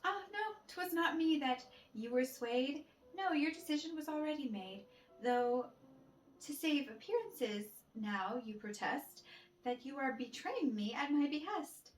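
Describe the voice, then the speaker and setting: A woman's voice: sits high, animated, measured pace, distant mic, a little hiss or hum. A woman storyteller giving a theatrical read-aloud of a play in rhyming verse. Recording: roomy echo with music behind.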